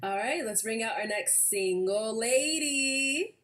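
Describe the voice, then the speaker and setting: A woman's voice: on the high side, animated, takes it slowly, fairly bright tone. A woman hosting a dating show, upbeat and coaxing as she asks questions.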